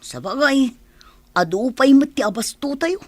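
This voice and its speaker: Woman acting a high-voiced wary robber sidekick. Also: lively intonation, unhurried, no echo. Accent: Ilocano. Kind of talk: radio drama acting.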